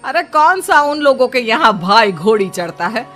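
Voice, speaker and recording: A woman's voice: on the high side, sing-song, normal speed, a bit bright, faint music behind. A woman voicing an outspoken, doting older Indian mother-in-law in a cartoon story, exclaiming and scolding. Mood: exasperated.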